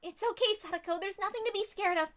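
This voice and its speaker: Woman as an intense, high-pitched protective teenage girl. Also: fast talker, a bit bright. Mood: soothing. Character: protective teenage girl, tender but fiercely snapping. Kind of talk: dramatic visual novel reading.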